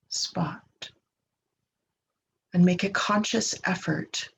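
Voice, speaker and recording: Slow, creaky-voiced woman guiding meditation. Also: fairly low pitch. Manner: gentle, unhurried, with long pauses between short phrases. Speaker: guided meditation host. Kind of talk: guided meditation, slow soothing instruction. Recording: dull lo-fi recording with clear background noise.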